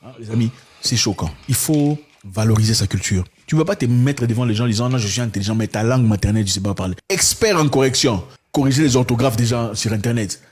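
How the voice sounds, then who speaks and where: An impassioned man arguing his case in a discussion, his voice a little husky and rough. He talks in an animated way, sometimes jumping into a high, exaggerated voice to mimic others. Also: quick pace. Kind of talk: impassioned conversation.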